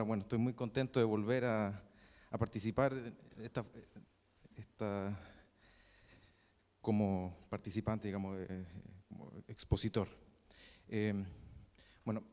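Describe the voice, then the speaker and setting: A young man with a Chilean accent giving a university math lecture, halting often with fillers and restarts. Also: lowish voice, darkish tone. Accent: Chilean Spanish. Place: lecture hall. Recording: reverberant lecture hall.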